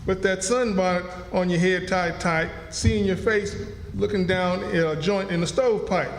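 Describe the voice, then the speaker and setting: A man's voice: high voice, fairly even intonation, moderate pace, vocal fry, slight background noise. A man playing a kindly, curious runaway with a folksy American Southern accent in a live radio drama. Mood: amused, advising. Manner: folksy dialect. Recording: echoey hall, music behind.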